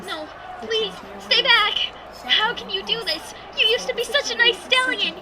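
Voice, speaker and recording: A woman's voice: very high-pitched, measured pace, over a noisy crowd. A woman acting in an audio drama as a worried but brave young pony, earnest and pleading. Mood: frightened, pleading.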